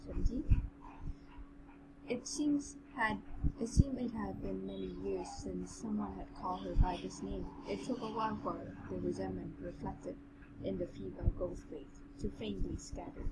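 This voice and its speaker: Amateur female story narrator, mid-pitched, reading fantasy prose, very noisy home recording. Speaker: co-host and narrator of a novel reading. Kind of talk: amateur narration of a fantasy novel with casual commentary.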